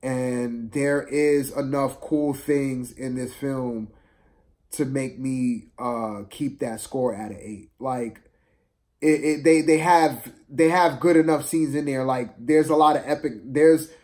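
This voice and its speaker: A man's voice: medium pitch, steady tone, takes it slowly, darkish tone. A man giving a casual, unscripted film review to viewers, rambling and hesitant, often repeating himself.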